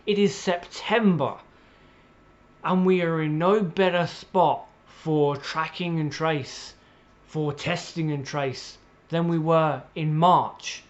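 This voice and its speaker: A man delivering an opinionated political rant in a blunt, sweary, exasperated way, with emphatic pauses and repetition, on slightly muffled audio. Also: on the high side, fairly bright tone. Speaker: political commentator.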